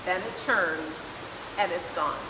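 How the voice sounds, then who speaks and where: Woman doing slow expressive kids story reading, echoey room, mic far away, hissy background. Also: fairly low pitch. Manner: expressive, deliberate storytelling with acted dialogue. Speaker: volunteer story reader. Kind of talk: children's picture book read-aloud. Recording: echoey hall, distant mic, clear hiss.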